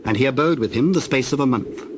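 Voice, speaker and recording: A man with a medium-pitched voice narrates scripture. His delivery is formal and measured, like scripted storytelling. Birdsong and cooing doves run clearly underneath. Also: noisy background.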